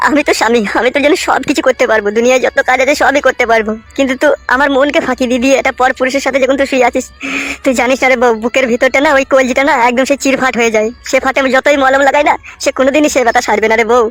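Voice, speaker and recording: Cartoon casting: aggrieved, foul-mouthed young husband played by a woman, very high pitch, rapid-fire rants. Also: fairly even intonation, fairly bright tone, soft background music. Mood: hurt, lamenting. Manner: ranting, scolding, coarse village speech. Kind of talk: comic cartoon dialogue.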